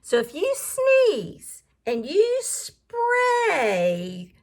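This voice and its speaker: A woman reading a picture book aloud like a teacher, in an expressive sing-song way, pausing to question and explain words to young listeners. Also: extremely high pitch.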